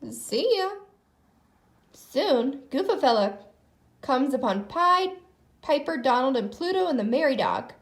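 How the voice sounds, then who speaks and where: A woman reading a children's story aloud in an expressive narrating style. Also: high-pitched, unhurried. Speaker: storybook reader.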